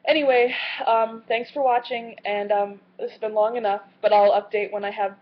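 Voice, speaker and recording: A woman's voice: a little high, fairly even intonation. Earnest young woman, muffled lo-fi audio. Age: late 20s. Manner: earnest, halting, candid. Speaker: vlogger. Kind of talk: personal video diary.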